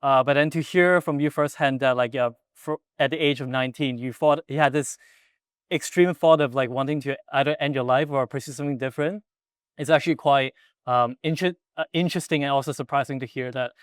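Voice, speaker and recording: Host guy, mid pitch, lots of um and like, super dry room. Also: fairly even intonation, fairly quick, smooth, dry recording. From Hong Kong. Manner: hesitant, lots of fillers and restarts while framing questions. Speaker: podcast host. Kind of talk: interview questions.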